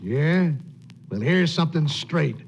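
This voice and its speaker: A man's voice: fairly high pitch, expressive, takes it slowly, vocal fry, heavy background noise, exaggerated voice. An actor playing a surly, combative ranch-hand henchman in a 1940s radio western. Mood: angry, defiant. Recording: dull old radio recording, crowd murmur.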